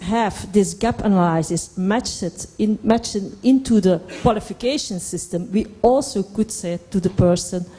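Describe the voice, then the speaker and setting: A middle-aged Flemish woman explains her work on a conference panel, halting and repeating words for emphasis, into a handheld microphone. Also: mid-range voice, mellow, slightly rough, poor audio. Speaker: panelist from an employment service. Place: indoor conference hall stage.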